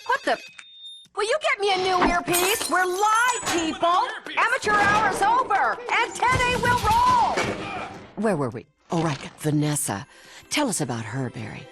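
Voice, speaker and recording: A woman's voice: very high voice, big pitch swings, measured pace, loud music behind the voice, heavily acted. A woman voicing a vain, demanding bee talk-show interviewer in a cartoon game. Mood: snapping, irate. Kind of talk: broadcast interview acting.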